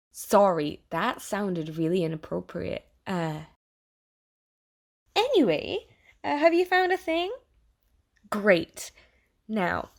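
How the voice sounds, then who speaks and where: A woman playing an eager, awkward and apologetic AI assistant who lives inside someone's head, in an audio drama monologue. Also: mid-range voice. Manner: bubbly, awkward, hesitant, apologetic, over-reassuring. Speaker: AI personal assistant. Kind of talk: audio theatre monologue addressed to the listener.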